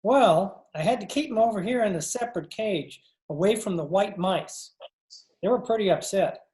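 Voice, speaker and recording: A woman's voice: mid-range voice, lively intonation, normal speed, dark tone, echoey room, close to an everyday voice. A woman playing a mild, earnest male biology teacher in an old-time radio comedy, literal in manner, recorded over a remote call.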